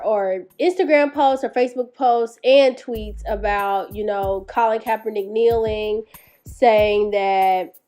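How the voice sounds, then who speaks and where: A woman's voice: medium pitch, darkish tone. A woman YouTuber with a stuffy nose from a cold, chatting casually to her viewers, rambling with lots of fillers and restarts. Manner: rambling, with many fillers and self-corrections. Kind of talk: casual commentary vlog.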